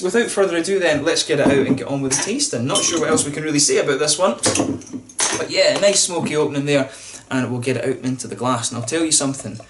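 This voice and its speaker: A man's voice: medium pitch, slight background noise. A man, chatting casually to camera as he reviews a beer, with lots of fillers and restarts. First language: English.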